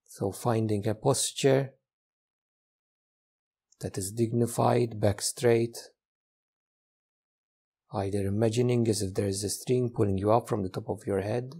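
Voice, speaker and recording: Man, guided meditation, very slow pace, dark mellow tone, gentle, long pauses between phrases. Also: lowish voice, steady tone, slightly rough. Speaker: meditation teacher.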